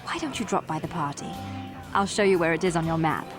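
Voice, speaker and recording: A woman's voice: low voice, expressive, fast, music in the background. A woman playing a friendly young junior military officer in a video game, talking in an open, chatty way. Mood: upbeat, chatty. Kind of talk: video game dialogue acting.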